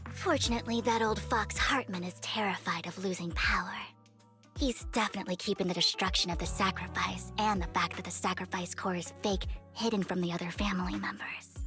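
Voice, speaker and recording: A woman's voice: medium pitch, fairly bright tone, natural voice. A woman voicing a poised, cunning young female thief who teases and often drops to a whisper, in game audio with music behind. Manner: coy and teasing, drops to whispers.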